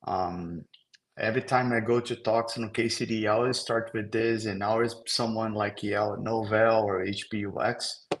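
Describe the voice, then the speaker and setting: Older brazilian guy doing a tech talk on a call, low voice, relaxed, lots of ums. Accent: Brazilian Portuguese. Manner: relaxed, self-deprecating, halting with fillers. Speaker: trainer. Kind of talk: online technical presentation.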